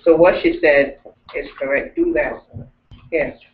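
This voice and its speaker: A man leads a language class and explains things step by step, often restarting his sentences. His fairly high voice comes from some way off the mic. The room echoes and the sound is thin and tinny, as on a phone line. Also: expressive, a little slow, recorded from a distance. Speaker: language teacher.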